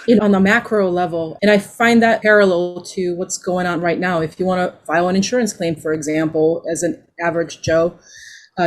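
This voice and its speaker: Lively woman panelist, low voice. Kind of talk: casual panel discussion.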